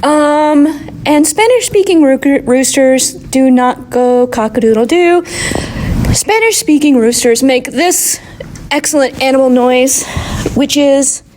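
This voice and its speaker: A woman contestant giving a deliberate, explanatory mock presentation in a submitted recording. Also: sits high, darkish tone. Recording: faintly noisy recording with clicks.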